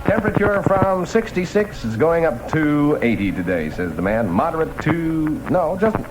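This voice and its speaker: A man's voice: on the high side, very animated, moderate pace, heavy background noise. An American man hosting a 1960 top-40 radio show, with playful, hip patter and live ad reads. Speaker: radio disc jockey. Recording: 1960 radio aircheck with music. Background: music.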